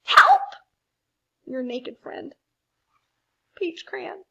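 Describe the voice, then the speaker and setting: A woman's voice: very high-pitched, huge pitch swings, extremely slow, creaky, bright, forceful tone, voice pushed up, full character voice. A woman voicing an embarrassed, whimpering crayon in a picture book, whiny and pleading. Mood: distressed. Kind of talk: picture book read aloud.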